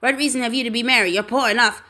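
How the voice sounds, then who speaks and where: A bitter old miser barks short, scornful retorts, as a woman puts him on. His voice sits a bit high for an old man and stays loud and stern. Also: fairly even intonation, racing.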